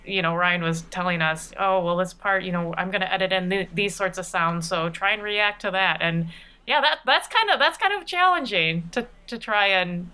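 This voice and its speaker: A woman's voice: lowish voice, normal speed, pushed and bright, smooth. A woman chatting casually and playfully as a guest in an interview. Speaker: podcast regular and voice performer being interviewed.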